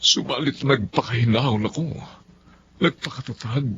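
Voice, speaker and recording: An actor playing a gentle, forgiving middle-aged father with a Filipino accent, earnest and fatherly in a radio drama. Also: mid-range voice, normal speed, close to an everyday voice. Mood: pleading, urgent. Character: gentle, forgiving father counseling his grown children. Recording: muffled radio drama audio, background noise.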